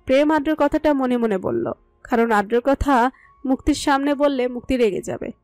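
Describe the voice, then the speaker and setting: A woman's voice: high voice, moderate pace, darkish tone, no echo. A woman narrating a scripted romantic story. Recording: music under the voice. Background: music.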